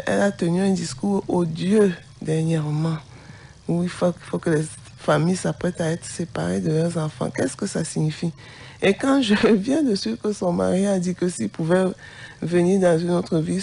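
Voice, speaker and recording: Gravelly woman preaching with passion. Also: fairly low pitch, fairly even intonation, moderate pace, dark tone. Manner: impassioned, indignant preaching tone. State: has the flu. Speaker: co-host, pastor's wife.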